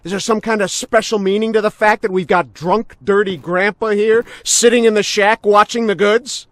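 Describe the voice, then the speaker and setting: A man playing a menacing, unhinged veteran policeman in a video game cutscene, mocking and theatrical, swinging from soft talk to shouting. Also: high-pitched, fairly even intonation, normal speed, dry recording. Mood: mocking, amused.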